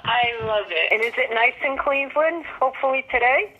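A woman's voice: sits high, a little fast, a loud crowd. A woman working as a call centre agent, chatting casually on a telephone call. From United States. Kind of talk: casual chat on a customer phone call. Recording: dull, noisy telephone line.

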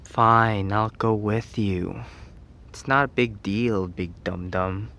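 Man acting a slow-talking, grumpy tsundere schoolboy. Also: lowish voice, fairly even intonation, bright, forceful tone, heavily acted. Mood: grudgingly fond. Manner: exasperated, whiny scolding with sighs. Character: grumpy, teasing tsundere teenage boy best friend. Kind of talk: ASMR roleplay.

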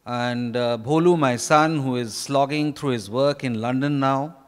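An Indian man in his fifties speaks as an author addressing an event from notes. He is very deliberate and unhurried, halting between short phrases. His voice is smooth and resonant, carried by a microphone in a reverberant hall. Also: medium pitch. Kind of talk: formal prepared speech from notes. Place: stage in a large hotel ballroom. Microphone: handheld microphone.

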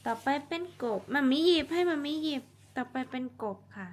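A woman's voice: high-pitched, expressive, a little fast. A woman talking to her young children as their mother, teaching simply with repeated words and encouraging praise. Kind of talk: simple teaching talk to toddlers.

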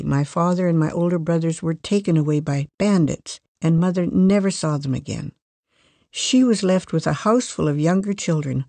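A voice reading a first-person life story from a magazine in a measured, steady way. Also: medium pitch, creaky, dry recording.

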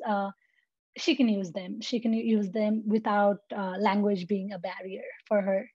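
A woman's voice: medium pitch, a little mellow, no room sound. A young woman from Nepal whose first language is Nepali, giving an earnest prepared talk over slides with many ums and uhs, recorded on a laptop webcam at home. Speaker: award nominee. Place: indoors at home. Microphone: laptop webcam on a video call. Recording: dull lo-fi laptop audio.

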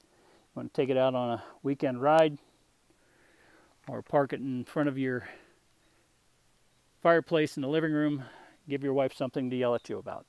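A man walks a buyer through a restored classic motorcycle in an easy, unhurried sales patter with a dry wit. His voice sits at a middling pitch, and the sound is close and dry with little room around it. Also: steady tone, a little mellow, smooth, dry recording. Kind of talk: off-camera sales walkthrough.

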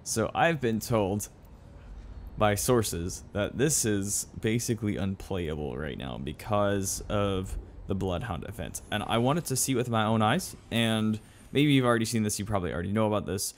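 Animated low-voiced gamer guy. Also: normal speed. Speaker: gaming video host. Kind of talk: casual gameplay commentary. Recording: faint game noise behind voice.

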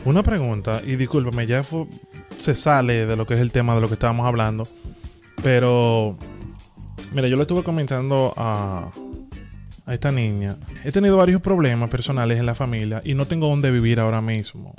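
A man's voice: medium pitch, normal speed, a little mellow, soft background music, lightly acted. A young man playing a needy, persistent construction worker asking for work on a prank phone call, speaking into a close studio mic. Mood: pleading, subdued. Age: young adult. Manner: persistent, pleading, easily offended. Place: home studio room. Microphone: close studio microphone on boom arm.

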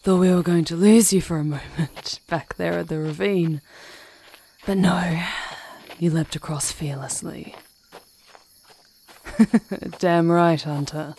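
Woman acting a cocky, unhurried pack leader. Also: lowish voice, fairly even intonation, warm tone, slight background noise. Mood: amused, approving. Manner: brash, casual, teasing. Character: cocky, teasing female pack leader, a supernatural huntress. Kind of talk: audio roleplay acting.

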